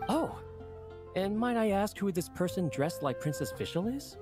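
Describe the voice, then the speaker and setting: A man's voice: sits high, big pitch swings, unhurried. A man playing a polite, earnest young book editor in an anime game, speaking formally and courteously. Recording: game music behind the voice.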